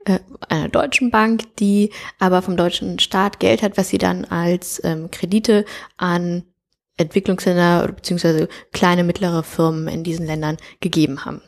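A woman's voice: on the low side, normal speed. German woman in her thirties, chatty. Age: 30s. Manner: relaxed storytelling with frequent fillers and laughs. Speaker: guest. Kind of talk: conversational interview. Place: coworking space.

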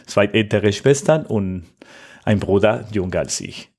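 Argentine man, low voice, halting German. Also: expressive, creaky, darkish tone, husky. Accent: Argentine Spanish. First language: Spanish. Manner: halting non-native German, searching for words. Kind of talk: conversational interview answers.

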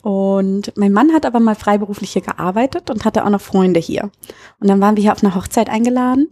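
A woman's voice: medium pitch, fairly quick, warm tone. A woman in her thirties from Berlin, chatting casually and openly as the guest in an interview. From Berlin, Germany. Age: 30s. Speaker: interview guest, bar owner.

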